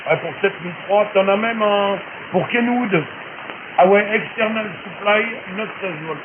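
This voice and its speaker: A man with a fairly high voice chats as a radio amateur, explaining technical things in loose, colloquial speech. He comes through a thin shortwave channel with clear hiss and static around him. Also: a little slow. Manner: colloquial, chatty explaining, frequent tag words. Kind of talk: casual radio chat with technical advice. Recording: narrow hissy noisy shortwave radio transmission.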